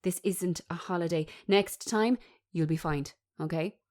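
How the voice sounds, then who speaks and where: A woman's voice: fairly low pitch, unhurried, darkish tone, natural voice. A woman voicing a stern, formal police officer in a script reading, firm and official in manner.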